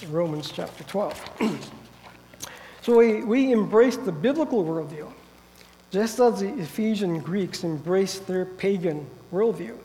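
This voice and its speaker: A man preaching a sermon while getting over a head cold, so he sounds nasal and stuffy, speaking carefully and earnestly and clearing his throat now and then. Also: high-pitched, expressive, measured pace, warm tone, slight background noise. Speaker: guest preacher. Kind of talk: sermon with Bible reading. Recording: long hall reverb.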